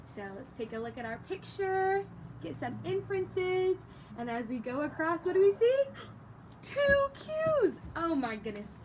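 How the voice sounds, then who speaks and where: High-voiced woman teaching through a read-aloud. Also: unhurried, slight background noise. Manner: animated, exaggerated, prompting listeners with questions and praise. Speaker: teacher reading a picture book aloud to young pupils. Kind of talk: interactive read-aloud lesson for children.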